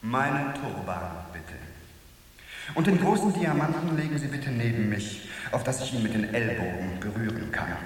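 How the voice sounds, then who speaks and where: A man's voice: medium pitch, animated, normal speed, distant mic, lightly acted. An imperious dream tycoon, voiced by a man in an old radio play, ordering everyone about in a slightly raised, wildly swinging voice. He sounds set back from the mic in a long, hall-like echo. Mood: grandly jovial. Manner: imperious, pompous, barking orders. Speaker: office clerk dreaming he is director general. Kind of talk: 1970s radio drama acting.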